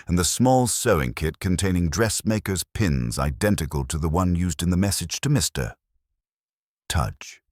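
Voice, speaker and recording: A very low-voiced man narrates a literary mystery. His delivery is formal and measured, with lively swings of intonation. The sound is dry and close. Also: dry recording. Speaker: audiobook narrator.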